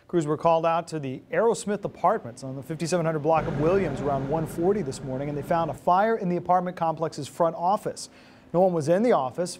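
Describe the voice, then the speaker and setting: A man's voice: on the high side, a little mellow. An American man in his thirties reading scripted news as a TV anchor. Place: TV news studio. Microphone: lapel mic.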